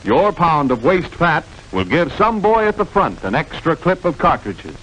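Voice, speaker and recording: A man's voice: a little high, very animated, moderate pace, very noisy. A man playing an earnest, emphatic wartime propaganda narrator in a 1940s newsreel style, on an old film soundtrack. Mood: urgent. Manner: emphatic, declamatory.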